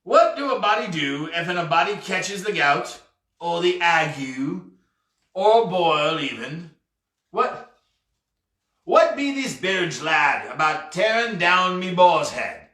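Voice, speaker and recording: A man acts out a ghostly pirate captain for a storybook, burly, sly and full of bluster. He drags out his archaic seafaring phrases very deliberately, with a bright, forceful edge. The sound is roomy and set back from the mic. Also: high voice, very animated, pitched above the performer's own voice, heavily acted. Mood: grumbling. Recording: roomy, echoey, distant mic.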